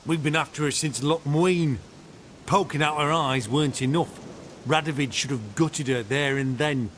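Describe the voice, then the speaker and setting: A man's voice: moderate pace, fairly bright tone, close to an everyday voice. An actor playing a surly, contemptuous military commander who hunts witches in a fantasy game, with a brusque, scornful delivery. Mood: bitter. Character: surly, foul-mouthed male witch-hunter commander, contemptuous and hard. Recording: game audio with rumbling ambient noise.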